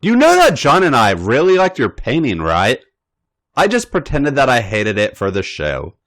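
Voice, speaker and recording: A man's voice: medium pitch, wildly swooping pitch, normal speed, fairly bright tone. A man voicing an emotional, bubbly young woman who is eager and persuasive.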